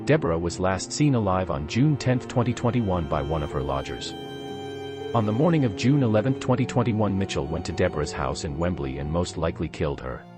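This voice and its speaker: A man's voice: deep voice, animated, brisk, over music. A man reading scripted narration for a true crime documentary.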